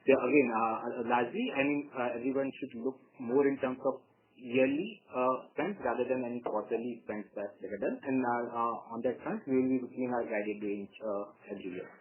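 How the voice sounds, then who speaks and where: Halting man on a tinny phone line. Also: mid-range voice. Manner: halting, measured, frequent long hesitations. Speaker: company executive answering analysts. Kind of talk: earnings call answers. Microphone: telephone conference call.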